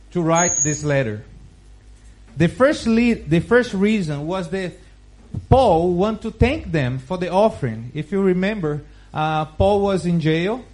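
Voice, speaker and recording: A man with a Brazilian Portuguese accent, Portuguese his first language, reading scripture aloud and preaching with slow, careful, halting phrasing. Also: high-pitched, a little mellow. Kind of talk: Bible reading and sermon.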